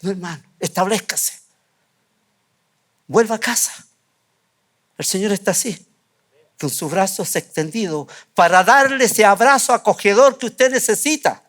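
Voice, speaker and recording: A pastor with a high, light voice delivers a fervent sermon in a church, repeating key phrases and pausing for emphasis. He drops softer and lower at times, then builds again. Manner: fervent, repetitive preaching with emphatic pauses. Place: church sanctuary.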